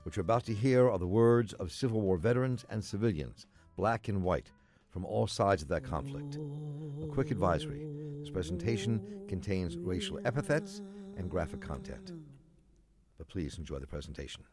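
A male host reads a scripted introduction in a low voice with very lively intonation. Faint music plays behind him. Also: moderate pace.

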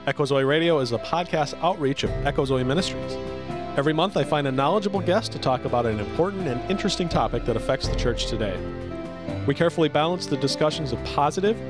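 Fast-talking man reading a podcast promo. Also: on the high side. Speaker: podcast host. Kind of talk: scripted promo read.

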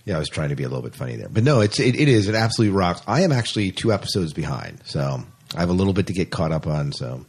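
A man with a low, husky voice co-hosts a fan podcast. He talks in a casual, conversational way and tends to repeat a phrase when he wants to stress it. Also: very animated, very fast, few pauses, darkish tone. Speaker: podcast co-host.

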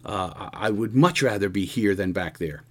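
A man's voice: fairly low pitch, a bit bright. Man in his sixties, earnest radio host. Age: 60s. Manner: halting, earnest, frequent restarts. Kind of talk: reflective casual radio monologue.

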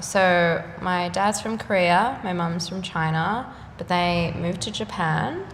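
A young woman, an artist chatting casually in an interview, full of fillers and quick laughs. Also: lowish voice. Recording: echoey room with faint noise.

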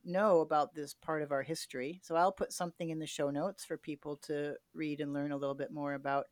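Low-voiced woman interviewer, dry close sound. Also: steady tone, a little mellow, no room sound. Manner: explanatory, measured, recaps and clarifies. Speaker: podcast host, interviewer. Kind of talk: conversational interviewing.

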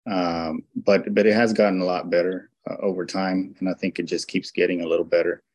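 A man's voice: low voice, little pitch movement, fairly quick, darkish tone, dry recording. A man who is a guest on a hunting radio show, giving casual interview answers with a lot of hesitation and restarts. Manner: hesitant, many restarts and fillers.

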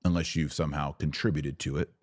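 A man's voice: very deep voice, fairly even intonation, fast, a little mellow, no room sound, studio quality. A man hosting a podcast, delivering a fervent religious monologue in an emphatic, exhorting manner with rhetorical questions and repetition. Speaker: podcast host.

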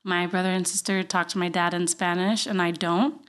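A woman's voice: lowish voice, flat delivery, a little fast, fairly bright tone, clean tone. A woman from Puerto Rico, a podcast guest telling casual, joking stories and acting out remembered dialogue. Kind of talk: casual conversational storytelling.

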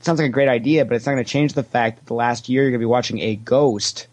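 A man's voice: medium pitch, quick pace. A man chatting casually as a podcast guest, opinionated and given to sarcastic jokes. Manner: opinionated, animated when quoting, sarcastic joking. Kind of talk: casual, joking fan chat.

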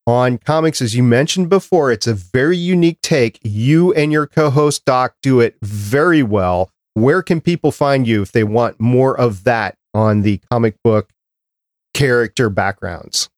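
A man's voice: medium pitch, a little slow, no echo, studio quality. A man hosting a podcast in a casual, chatty style. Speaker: podcast host. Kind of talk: casual podcast chat and sign-off.

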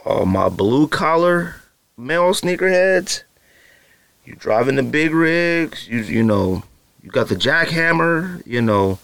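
A man's voice: medium pitch, fairly bright tone, no echo. A man in his thirties hosting a solo sneaker podcast from his home studio, talking casually to his listeners with teasing, hyped-up direct address and lots of fillers. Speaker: podcast host.

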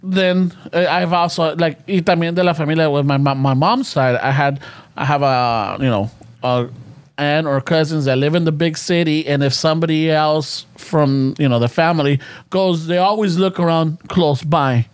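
A man's voice: a little high, moderate pace, clear, resonant tone, no echo. A man chatting casually with friends, switching between English and Spanish, rambling and swearing as he tells stories.

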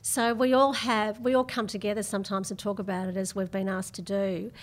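An Australian woman speaks as a guest in a conversational interview. Her voice sits at a medium pitch, and her delivery is measured and reflective, like personal storytelling. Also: little pitch movement. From Melbourne, Australia. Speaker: podcast guest.

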